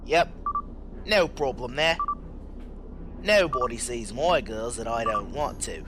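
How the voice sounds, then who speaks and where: An actor playing a blunt, informal streetwise robot operator in an audio drama. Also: male voice, mid-range voice, animated, slow pace, noisy background. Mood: cocky. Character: self-professed streetwise male robot operator, plain-spoken.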